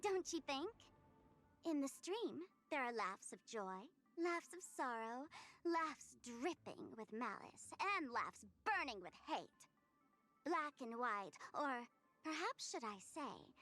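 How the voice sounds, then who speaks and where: A woman's voice: sits high, fairly bright tone, exaggerated voice. A woman voicing a mischievous, attention-craving young streamer trickster from an anime-style game, playful, teasing and theatrical in delivery. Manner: playful, teasing, theatrical, with giggles and drawn-out words. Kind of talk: anime-style video game character voicelines.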